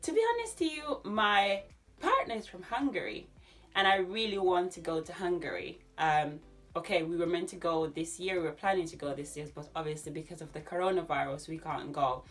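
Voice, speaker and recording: A woman in her thirties with a Jamaican accent, chatting casually to camera in an animated way as she answers questions. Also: fairly low pitch, fairly quick, soft background music. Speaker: YouTube vlogger. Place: small furnished room at home.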